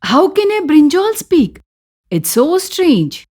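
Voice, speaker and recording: A woman with an Indian accent voicing an aging mother-in-law in an animated story, bitter and nagging yet loving, complaining in exclamations. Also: high-pitched, takes it slowly, pitched above the performer's own voice, heavily acted. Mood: astonished.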